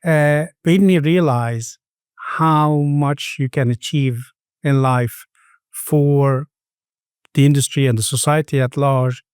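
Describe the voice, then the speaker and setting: Middle-aged man, smooth mellow voice. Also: mid-range voice, fairly even intonation, dry recording, clean studio sound. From Middle East and Sweden. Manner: hesitant, halting phrasing with repeated words. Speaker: podcast guest. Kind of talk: reflective interview conversation.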